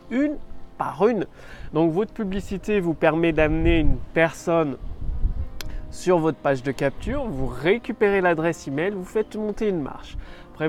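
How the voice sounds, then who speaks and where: High-voiced French man with a cold. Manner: repetitive, emphatic coaching. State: has a cold. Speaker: business coach vlogger. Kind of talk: casual outdoor vlog talk. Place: outdoors on a rock on an island.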